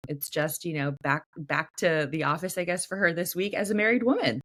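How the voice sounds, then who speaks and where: A young woman with a slightly low, faintly hoarse voice chats with a friend on a podcast. She talks in an animated, easygoing way, full of "like" and quick asides. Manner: chatty, animated, lots of "like". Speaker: podcast co-host, editor. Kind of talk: casual chat.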